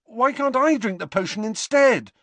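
A man's voice: high voice, sing-song, takes it slowly, fairly bright tone, no echo, pitched above the performer's own voice, exaggerated voice. A man voicing an anxious, earnest teenage boy wizard in an audiobook, speaking with urgent, strained insistence.

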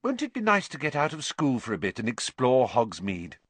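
A man voicing a bright, bossy teenage schoolgirl witch in a storybook, with an earnest, sharp manner. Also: medium pitch, wildly swooping pitch, a little fast, husky, no echo, voice pushed up. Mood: keen.

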